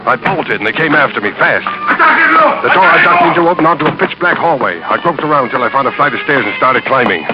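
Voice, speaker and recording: A man playing a hard-boiled American fugitive in a vintage radio suspense play. He speaks in tense, clipped slang at a middling pitch. The sound is dull and old, with music behind it. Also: animated, fast, close to an everyday voice. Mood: suspenseful narration. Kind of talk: 1940s radio acting, dramatic first-person narration.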